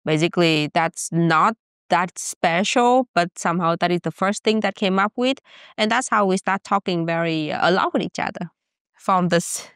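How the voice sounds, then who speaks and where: A woman's voice: on the low side, moderate pace, fairly bright tone, smooth, no room sound, studio quality. A young woman from Vietnam with a Vietnamese accent, hosting a podcast and asking questions in a playful, teasing way. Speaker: podcast host. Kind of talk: casual chat.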